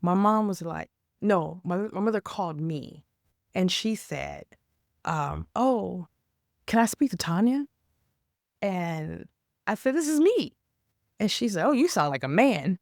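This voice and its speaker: A woman with a raspy, hoarse voice from a cold, talking casually about faith and pushing through hard times. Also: medium pitch, expressive, measured pace, long pauses, a little mellow, no echo, studio quality. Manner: rambling, stops and restarts, then earnest exhortation. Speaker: faith vlogger. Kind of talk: casual faith talk to camera.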